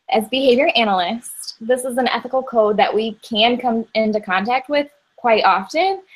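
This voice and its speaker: A young woman from Indiana moderating an informal debate, reading a prepared introduction in a steady, organized way. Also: fairly high pitch, rough recording. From Indiana, USA.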